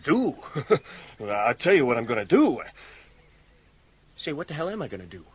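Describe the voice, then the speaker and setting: An actor playing a brash, excitable small-time American crook in a radio drama, slangy and blustering. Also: male voice, on the high side, moderate pace, husky, full character voice. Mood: tough, blustering. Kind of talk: 1980s radio drama acting.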